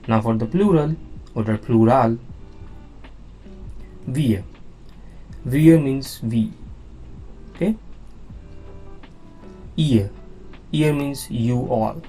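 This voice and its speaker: Slow, patient man teaching beginners. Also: medium pitch, dark tone, faint music behind. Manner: patient, repeats words, frequent "now" and "okay?". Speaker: language teacher. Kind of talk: slow beginner lesson explaining on-screen slides.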